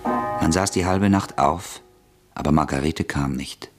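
A man narrating a radio play in a measured, steady storytelling manner. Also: extremely low pitch, over music.

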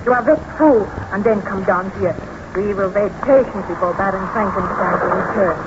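A woman's voice: mid-range voice, moderate pace, lightly acted. A woman acting a baron's genteel, distressed wife in a 1930s radio serial, with formal, melodramatic diction. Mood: reproving, composed. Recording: noisy, muffled vintage 1930s radio recording.

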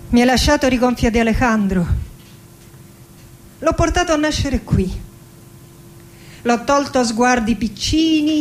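Slow, lyrical actress as a melancholy woman poet. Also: a little high, a little mellow, a little hiss or hum, natural voice. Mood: wounded, confiding. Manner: dramatic, lyrical, lingering on phrases. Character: passionate, melancholy woman poet, wounded yet defiant in love. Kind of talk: theatrical monologue and poetry recital over music. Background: acoustic guitar music.

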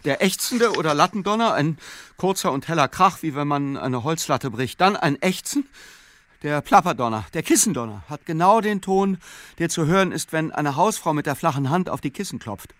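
An actor playing a brooding, solitary man who obsessively recites facts and lists in a radio play, deliberate and fragmented with hesitations. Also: on the high side, expressive, fairly bright tone, no echo. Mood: pedantic, absorbed. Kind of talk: literary radio play reading.

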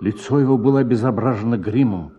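A man's voice: medium pitch, quick pace, mellow, dull audio, slight background noise. A man narrating a literary short story for radio in a dramatic storytelling style. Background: music.

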